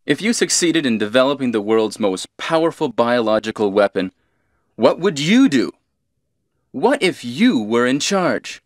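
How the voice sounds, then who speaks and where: An actor playing a cold, scheming villainous commander in a video game, deliberate, condescending and menacing in stilted cutscene delivery. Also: male voice, mid-range voice, big pitch swings, no room sound, clean studio sound. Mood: smug.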